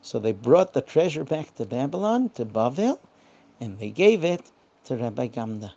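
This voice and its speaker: A woman's voice: rumbling low, sing-song, normal speed, mellow, highs cut off. A woman telling a children's bedtime story in simple phrases, repeating words for emphasis.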